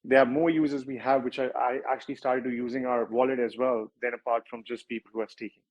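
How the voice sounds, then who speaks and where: A man's voice: medium pitch, little pitch movement, quick pace, darkish tone, muffled recording. A man with a strong Indian accent, a project founder answering questions in a livestreamed interview, talking at length and often correcting himself. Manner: rambling, self-correcting, with many filler words.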